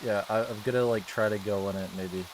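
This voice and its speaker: A man's voice: fairly low pitch, fairly even intonation, brisk, slight background noise, rough recording. A young man with a subtle Maine accent, chatting casually and loosely while gaming on a livestream. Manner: laid-back, loose, trailing off with fillers.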